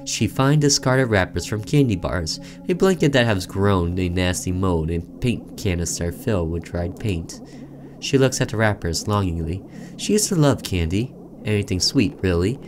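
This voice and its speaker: A man reading visual novel narration aloud in a dramatic, deliberate storytelling manner, with casual commentary as a gaming host. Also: low-pitched, lively intonation, music in the background.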